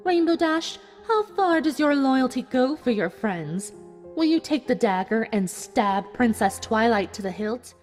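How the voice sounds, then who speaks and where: A woman voicing a cruel, smug cartoon unicorn villain who taunts her captives with calm menace in a dramatic audio story. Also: on the high side, unhurried. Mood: smug, menacing. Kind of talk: dramatic fan fiction audio reading. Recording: music behind the voice.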